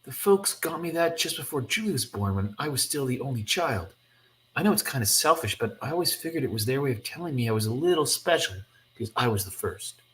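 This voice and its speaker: A man's voice: medium pitch, expressive, brisk, fairly bright tone, close to an everyday voice, low-quality recording. A man voicing an earnest, protective eldest boy from a comic, a little wistful.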